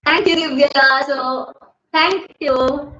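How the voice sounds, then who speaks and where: Indian English woman, 30s, high and loud, casual livestream host; echoey bedroom, dull phone audio. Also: fairly even intonation, slow. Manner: chatty, laughs often, thanks viewers by name. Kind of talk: casual live Q&A. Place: bedroom at home. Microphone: phone camera at desk distance.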